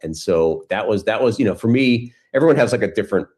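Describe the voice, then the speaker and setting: Male interview guest, middle-aged, deep voice, casual storyteller, loose and rambling delivery with frequent restarts. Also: expressive, fast talker, a little mellow. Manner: rambling, restarts phrases often.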